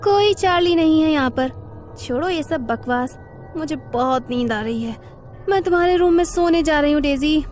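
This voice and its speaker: A woman plays a defiant young college girl in a horror audio story. Her high-pitched voice is assertive and challenging, set over faint music. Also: lively intonation, moderate pace, a little mellow. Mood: scornful. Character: headstrong young female college student, defiant.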